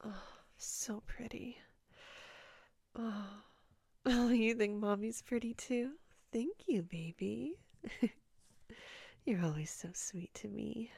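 A woman playing a doting, dominant lover in an intimate audio roleplay, with a breathy voice and a coaxing manner. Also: fairly high pitch, warm tone, no room sound, heavily acted. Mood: tender, coaxing. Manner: slow, coaxing, often whispered.

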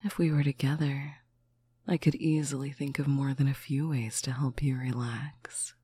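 A speaker in a slow, intimate roleplay, talking softly to a faraway partner on a bedtime call, often dropping into a whisper. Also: mid-range voice, flat delivery, a little hiss or hum. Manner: soft, affectionate, often whispered. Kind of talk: intimate roleplay monologue to the listener.

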